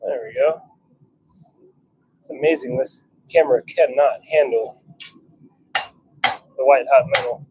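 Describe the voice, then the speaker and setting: A man's voice: medium pitch, a little slow, pauses often, mellow, breathy edge, low-quality recording. A man chatting offhand on a livestream as he works in a workshop, grumbling and self-deprecating. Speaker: livestream host and hobby blacksmith. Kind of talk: casual live chat while working. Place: home forge workshop.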